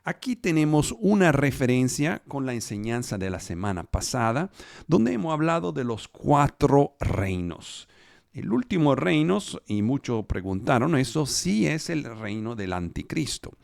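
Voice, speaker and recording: Gravelly man preaching in learned Spanish. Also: mid-range voice, sing-song, a little mellow, dry recording, studio quality. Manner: speaks Spanish as a second language, pausing with fillers; explains scripture step by step. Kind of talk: live online Bible teaching.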